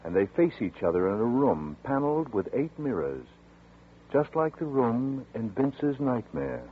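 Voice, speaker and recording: A man giving measured, dramatic scripted narration for a 1940s radio drama. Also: mid-range voice, very animated, noisy background. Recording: tinny lo-fi 1940s radio broadcast.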